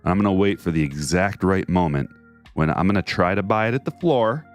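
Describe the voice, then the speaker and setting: A man's voice: expressive, fairly quick, soft background music. A man hosts a casual livestream, chatting in a jokey, chatty way and reading viewer messages aloud. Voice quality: deep bass voice. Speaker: card break streamer.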